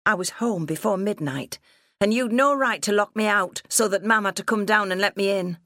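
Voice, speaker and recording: A woman's voice: mid-range voice, normal speed, a bit bright, no echo, studio quality, pitched above the performer's own voice, lightly acted. A woman voicing a rebellious nineteen-year-old farm daughter in an audiobook, snappy and resentful. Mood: indignant. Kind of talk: audiobook character dialogue.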